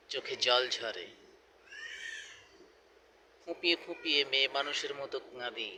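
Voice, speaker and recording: A man reciting a poem in a dramatic style, deliberate and emphatic, pausing between short phrases. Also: fairly high pitch, bright, forceful tone, slight background noise, low-quality recording. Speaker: poetry reciter.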